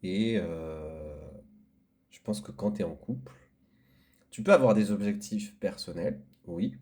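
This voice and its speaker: A man co-hosting a casual podcast, relaxed and agreeable, talking loosely with fillers. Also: low voice, slow pace. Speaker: podcast co-host. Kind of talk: casual chat.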